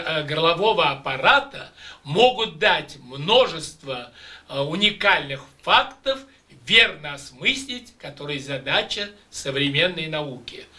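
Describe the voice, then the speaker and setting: An older man teaching voice exercises to students, speaking emphatically and deliberately in a small room, recorded by a distant camera mic. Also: a little high, bright, forceful tone. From Russia. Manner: emphatic, declamatory, slow and deliberate. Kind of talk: demonstrating exercises and addressing students. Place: small room with patterned curtains. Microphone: camera microphone at a distance.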